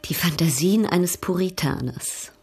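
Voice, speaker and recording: An actress playing a worldly, amused baroness in a radio drama, ironic and drawling. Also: female voice, on the low side, a little slow, darkish tone, slightly rough, full character voice. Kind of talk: radio drama dialogue, period literary adaptation.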